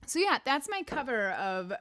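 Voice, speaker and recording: Chatty raspy woman, lively pitch. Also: moderate pace. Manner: chatty, rambling, laughs often. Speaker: singer and weekly show host. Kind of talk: casual livestream chat. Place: indoor room with a piano.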